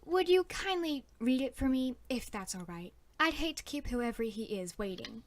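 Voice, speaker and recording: High, expressive genteel young lady played by an actress. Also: moderate pace. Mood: gracious. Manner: polite, old-fashioned, dramatic sighing delivery. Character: genteel well-to-do young lady, wounded but resolute. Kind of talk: period audio drama acting.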